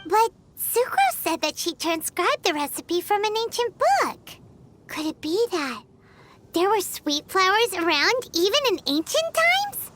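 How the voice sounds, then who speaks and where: A woman voicing a tiny, excitable childlike fairy companion in a video game, squeaky and exclamatory. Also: measured pace, exaggerated voice. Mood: amazed, curious. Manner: exclamatory, refers to herself by name. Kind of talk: animated video game acting. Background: music.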